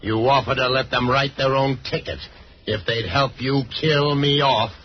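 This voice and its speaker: A man's voice: medium pitch, steady tone, normal speed, close to an everyday voice. A dull, hissy old radio western recording carries a man acting a ruthless outlaw boss. He is menacing and commanding, bearing down on his men with accusation. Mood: cold accusation. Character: ruthless, vicious male outlaw boss plotting a takeover.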